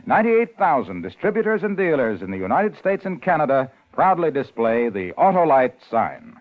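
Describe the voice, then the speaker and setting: A man's voice: on the high side, big pitch swings, normal speed. A man announcing for a sponsor with a polished, salesmanlike 1950s radio delivery. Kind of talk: 1950s radio advertising pitch. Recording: tinny 1950s radio broadcast.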